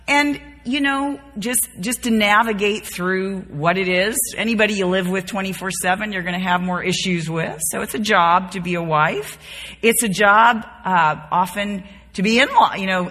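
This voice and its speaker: Woman, fifties, Bible study teacher, raspy but bright tone, informal devotional delivery, folksy, reverberant hall. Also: mid-range voice. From born and raised in the Bahamas, later North Carolina. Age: 50s. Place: large reverberant room, speaking to a group.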